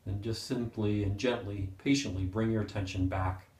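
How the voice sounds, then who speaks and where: A man leading a guided meditation as a teacher, speaking gently and unhurriedly with pauses. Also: fairly low pitch, fairly even intonation. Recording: roomy, echoing sound.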